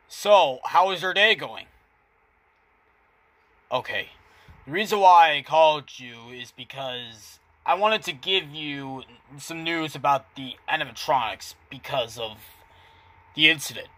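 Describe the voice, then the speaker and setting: A man playing a chatty doctor on a casual, awkward phone call in an amateur short film, talking in a halting, matter-of-fact way. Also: a little high, pushed and bright, no echo. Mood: casual, hesitant. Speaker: amateur voice actor.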